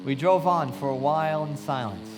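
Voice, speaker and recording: A man's voice: fairly high pitch, animated, normal speed, faint music behind, close to an everyday voice. An actor playing a reflective, observant young man narrating his memories with literary care in a live radio play. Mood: reflective, vivid. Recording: reverberant hall with faint noise.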